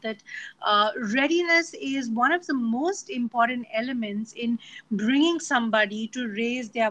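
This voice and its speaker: A woman's voice: a little high, bright, forceful tone, rough recording. A woman hosting a casual interview, talking enthusiastically and affirming her guest, with many fillers like "you know". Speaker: podcast host.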